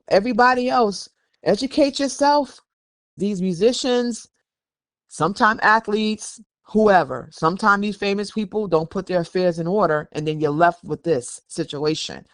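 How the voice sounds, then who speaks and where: Higher-pitched American man, emphatic podcast host. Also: a bit bright, no echo, studio quality. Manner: emphatic, admonishing, repeats phrases for stress. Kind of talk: opinionated conversational commentary.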